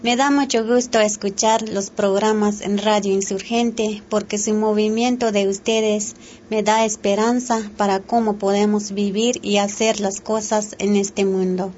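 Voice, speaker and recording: A woman radio announcer reading a listener's letter aloud in a plain, steady way. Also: medium pitch, unhurried. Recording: dull lo-fi radio with faint hiss.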